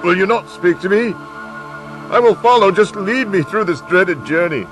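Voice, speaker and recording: A man's voice: high voice, lively intonation, unhurried, a bit bright, soft background music. An actor playing an old miser facing his own repentance in a live radio drama, theatrical and given to stammered repetitions. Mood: fearful, pleading.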